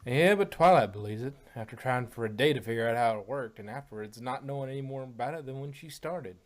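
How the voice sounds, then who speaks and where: A performer voicing a plain-spoken, steady female farm pony with a folksy, reassuring Southern US drawl. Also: medium pitch, fast, slight background noise, lightly acted. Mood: explaining.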